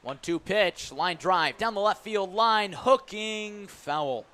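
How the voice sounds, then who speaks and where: Higher-voiced American man calling baseball. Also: lively intonation, slow, bright, forceful tone. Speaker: play-by-play baseball announcer. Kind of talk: live radio play-by-play commentary.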